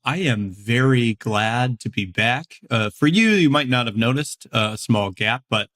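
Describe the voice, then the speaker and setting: A hoarse man with a slightly nasal voice hosts a casual podcast interview from his office. He talks in a chatty, self-deprecating way, full of fillers and restarts. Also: mid-range voice, lively intonation, measured pace, fairly bright tone. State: hoarse from illness. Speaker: podcast host. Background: construction noise outside.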